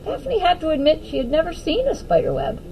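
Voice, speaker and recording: A woman narrates a picture book for children in a high voice. Her delivery is expressive and swings widely in pitch, with faint noise behind it. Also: a little fast, poor audio. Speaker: storyteller reading aloud to children.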